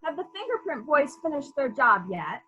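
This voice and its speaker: A methodical female detective played by a woman runs a murder inquiry. Her voice is fairly high and very lively in pitch, as she muses deliberately and asks pointed questions. It sounds like a dramatic mystery reading. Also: lo-fi sound, a little hiss or hum, full character voice. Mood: brisk. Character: female police detective in charge, probing and methodical. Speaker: actor in a library's dramatic reading.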